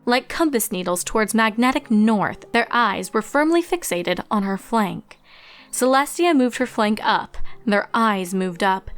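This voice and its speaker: A woman reading a scripted story aloud as its narrator, in an expressive storytelling style. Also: on the high side, unhurried. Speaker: fan fiction narrator. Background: background music.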